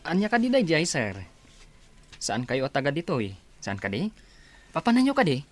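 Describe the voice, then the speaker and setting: A man playing an eager, respectful village labourer in a radio comedy-drama, animated and deferential. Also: on the high side, normal speed, soft background music. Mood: curious, helpful.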